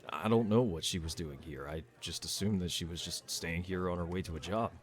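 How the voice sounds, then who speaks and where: Very deep-voiced, calm tavern bartender acted by a man. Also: brisk, natural voice. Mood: easygoing, amused. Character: seasoned male bartender, ex-royal guard, calm, avuncular. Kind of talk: amateur fantasy audio roleplay acting.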